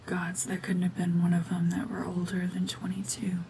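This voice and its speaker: A woman voicing a cold, mean, world-weary cyborg witch and war veteran in a hushed, breathy, brooding, deliberate ASMR drama monologue. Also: fairly low pitch, monotone, slight background noise. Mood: bitter, brooding.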